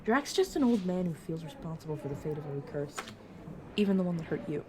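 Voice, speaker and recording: A woman playing a brisk, protective vampire mentor in an audio roleplay, talking casually and teasingly to a new fledgling. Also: low-pitched, lively intonation, background noise. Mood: reassuring, wry. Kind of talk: narrative audio roleplay, addressed to the listener.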